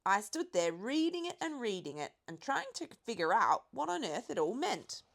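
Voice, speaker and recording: A woman narrating a children's audiobook in an expressive storytelling style. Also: on the high side, moderate pace, gravelly. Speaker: audiobook reader.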